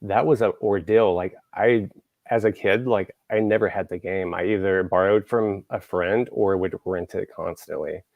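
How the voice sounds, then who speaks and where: A man's voice: low voice, steady tone, moderate pace, creaky, no echo, phone-quality sound. A man from Texas, hosting a show and chatting casually, rambling with lots of fillers and restarts. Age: adult, grew up in the 1980s. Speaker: show host. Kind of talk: casual podcast chat.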